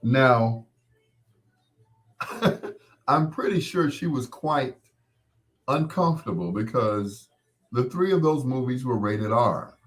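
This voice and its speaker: A man's voice: mid-range voice, expressive, slow, pauses often. An American man in his sixties hosting a casual live chat, reminiscing in a rambling, conversational way and repeating points for emphasis. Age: 60s. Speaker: host.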